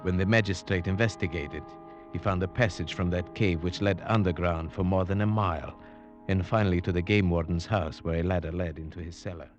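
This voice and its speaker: An actor playing an earnest, frightened storyteller in a 1940s radio drama, speaking in a measured, dramatic way. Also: male voice, sits low, steady tone, close to an everyday voice. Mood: grave, suspenseful. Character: earnest male storyteller recounting a frightening hunt. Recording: old radio broadcast under music.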